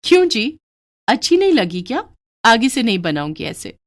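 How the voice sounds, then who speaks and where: A woman voicing an outspoken, practical village wife and mother with a brisk, homely manner in a cartoon. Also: on the high side, sing-song. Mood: coy, pleased.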